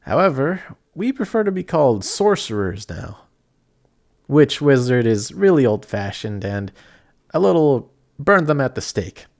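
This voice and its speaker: A young man playing a kindhearted apprentice sorcerer in an audio roleplay, chatty, excitable and easily flustered, with some stammering. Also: medium pitch, a little slow, vocal fry. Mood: friendly, proud. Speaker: voice actor. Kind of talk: amateur audio roleplay acting addressed to the listener.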